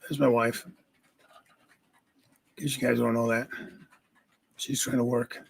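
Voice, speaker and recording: A man with a raspy voice hosting a casual livestream from home, greeting viewers by name and chatting. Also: medium pitch, little pitch movement, a little slow. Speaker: livestream host.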